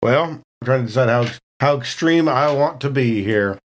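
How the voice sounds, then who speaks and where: A man's voice: mid-range voice, normal speed. A man chatting casually as a tabletop game player, thinking aloud in a hesitant, wry, conversational way.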